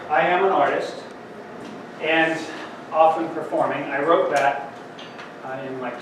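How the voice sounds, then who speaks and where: A man's voice: medium pitch, background noise. Man speaking from far in an echoey hall. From Denver, Colorado. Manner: dramatic, slow, swings loud and soft, bursts into song. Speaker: poet and speaker at a conference. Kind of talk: spoken word poetry and talk. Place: large conference hall.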